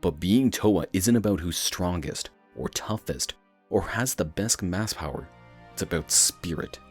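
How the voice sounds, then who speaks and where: Man playing a very deep, earnest hero. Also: lively intonation, soft background music, exaggerated voice. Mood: earnest, reassuring. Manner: measured, sincere, encouraging. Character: deep-voiced male fire hero, earnest and steady teammate. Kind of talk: fan-dub animated adventure dialogue.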